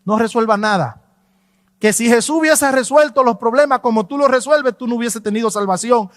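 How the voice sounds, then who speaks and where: A man's voice: extremely high pitch, fairly quick, dry recording, studio quality, in a large hall. A pastor preaching a fervent, emphatic sermon. He repeats himself for emphasis in a church sanctuary.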